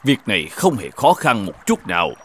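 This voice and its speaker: A man voicing a mysterious old Taoist sage in an animated fairy tale, courteous and formal in manner. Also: lowish voice, moderate pace. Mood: courteous, persuasive. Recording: music behind the voice.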